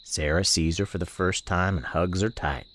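A man narrating a radio play as a gentle country storekeeper, with folksy, colloquial phrasing. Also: deep voice, steady tone, moderate pace. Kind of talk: first-person storytelling in a radio play.

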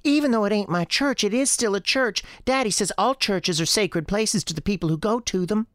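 A woman voicing a young girl with a high girlish voice and a folksy, childlike storytelling manner in an audio drama. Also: fairly quick, no room sound, clean studio sound, lightly acted. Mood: insistent. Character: young Southern girl Sarah, earnest, defiant, chatty. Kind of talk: acted audio drama dialogue.

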